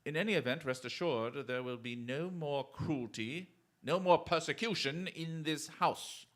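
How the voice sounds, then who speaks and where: A man acting a courteous, shrewd, chivalrous detective in a radio drama, with formal, deliberate speech. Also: fairly high pitch, a bit bright. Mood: gallant, firm. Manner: formal, polite, theatrically deliberate.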